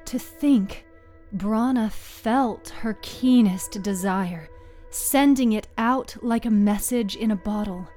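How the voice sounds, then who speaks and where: A woman storyteller reading dramatic scripted narration in a deliberate, halting way, lingering on short phrases. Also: mid-range voice, mellow. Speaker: storyteller, narrator of a serial fiction podcast. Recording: music under the voice. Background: music.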